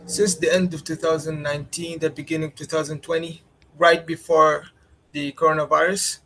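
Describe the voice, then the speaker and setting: A man's voice: on the high side, flat delivery, normal speed, a bit bright, rough recording. A young man from Qatar answering interview questions, explaining step by step with many hesitations. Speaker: interviewee, student.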